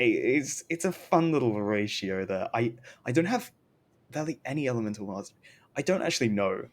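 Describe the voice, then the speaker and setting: A man with a fairly low voice talks casually on a game stream. He rambles and keeps cutting into his own sentences. A faint noise and rumble sit behind his voice. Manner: rambling, self-interrupting. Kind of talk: casual gaming stream chat.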